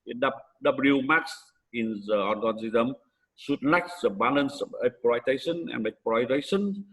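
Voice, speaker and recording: Male conference presenter, Vietnamese accent, mid-pitched voice, hesitant academic delivery in halting non-native English. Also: slightly rough, poor audio.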